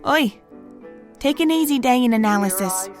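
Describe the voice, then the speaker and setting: Casting: woman to play firm, protective pony mechanic friend, informal audio drama delivery, high and very animated. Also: moderate pace, faint music behind, lightly acted. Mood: gentle, caring. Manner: coaxing, earnest, informal. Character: female pony maintenance worker, caring, firm, foul-mouthed friend.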